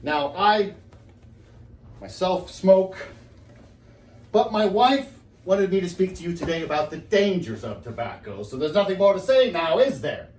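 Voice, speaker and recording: An actor playing a nervous, henpecked husband who rambles and pities himself through a theatrical comic lecture monologue. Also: male voice, high voice, sing-song, measured pace, long pauses, darkish tone. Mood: formal, flustered. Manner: digressive, self-pitying, exclaiming then trailing off. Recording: roomy, echoing, distant mic with background noise.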